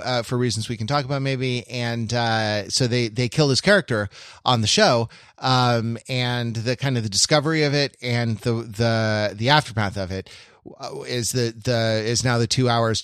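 A man co-hosting a podcast in casual discussion, speaking haltingly and wryly as he searches for words. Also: mid-range voice, a bit bright, smooth, no echo, clean studio sound. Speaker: podcast co-host. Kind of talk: casual pop-culture discussion.

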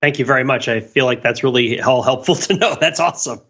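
A man's voice: mid-range voice, fast talker, a bit bright, dull audio. A man chatting as a podcast panelist, inquisitive and wordy in a casual conversational style.

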